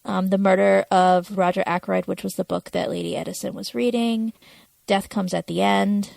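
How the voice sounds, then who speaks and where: Woman with crackly vocal fry. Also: mid-range voice, fairly even intonation, moderate pace, a little mellow, no room sound. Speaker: podcast co-host. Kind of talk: casual chat about a TV episode.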